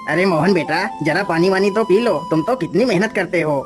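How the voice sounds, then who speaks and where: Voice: mid-range voice, fairly quick, music in the background, natural voice. A performer voicing a kindly older village uncle in a cartoon, avuncular and encouraging.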